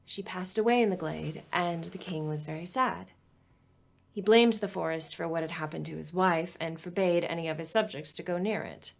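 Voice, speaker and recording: Cheerful young tutor, playfully teasing. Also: female voice, on the low side, creaky. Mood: gentle storytelling. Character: cheerful, patient young woman tutoring a teenager. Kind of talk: naturalistic web series acting.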